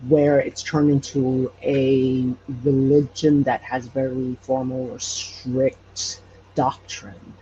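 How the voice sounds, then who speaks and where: A man explaining things in casual interview talk, as a podcast guest, in a halting way. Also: mid-range voice, mellow, slightly rough. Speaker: podcast guest, local storyteller. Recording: muffled, with faint background noise.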